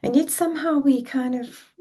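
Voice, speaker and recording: A woman's voice: sits high, normal speed, dark tone. A middle-aged woman with a South African accent, telling her life story as a guest on a podcast in a reflective, heartfelt way. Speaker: relationship coach guest. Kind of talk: podcast interview.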